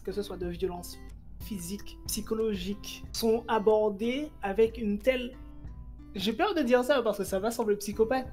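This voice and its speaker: A woman talking casually about a manga, animated and quick in bursts, with many fillers and restarts. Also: mid-range voice. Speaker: manga reviewer. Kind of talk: casual chat.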